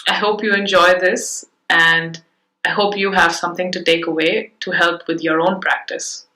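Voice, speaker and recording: A young woman from India, raised on Punjabi at home, giving a prepared conference talk in a reflective, measured, storytelling way. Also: fairly low pitch, flat delivery, pushed and bright. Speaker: conference speaker. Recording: echoey room.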